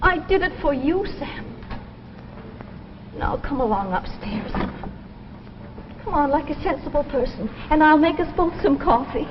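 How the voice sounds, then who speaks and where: A high-voiced, scolding radio sister. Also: female voice, expressive, unhurried, pauses often. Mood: pleading. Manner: stern, exasperated. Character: practical, scolding but protective sister. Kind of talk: 1950s radio drama acting. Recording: muffled, noisy, reverberant old radio recording.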